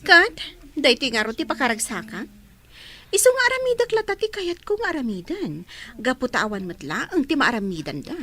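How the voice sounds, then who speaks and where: A woman's voice: sits high, sing-song, fairly quick, heavily acted. A young woman acting a headstrong student who talks back to her parents in an audio drama. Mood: scornful. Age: young adult. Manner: sharp, defiant. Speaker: student daughter.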